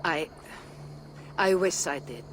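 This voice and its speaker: Slow, wistful Viking seeress. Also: female voice, sits low, lively intonation, noisy background. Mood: sorrowful, puzzled. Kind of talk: dramatic video game acting.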